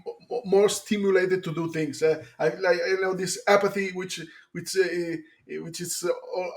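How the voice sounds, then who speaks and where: A man's voice: high-pitched, darkish tone. A man from Rome, Italian by birth, speaking English hesitantly with many restarts and fillers. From Italy, Rome. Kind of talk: interview testimony.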